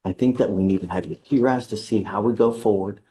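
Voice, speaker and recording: A man speaking as a judge at a courtroom hearing, measured and deliberate, stern but patient. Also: lowish voice, warm tone, slight background noise.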